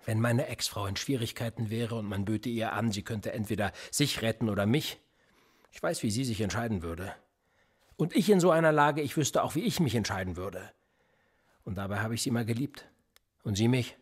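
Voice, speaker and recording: Husky, probing police interrogator. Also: male voice, fairly low pitch, normal speed. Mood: persuasive, reflective. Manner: pressing, needling, rhetorical questioning. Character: probing, sardonic male police interrogator, middle-aged, world-weary. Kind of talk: German radio play acting.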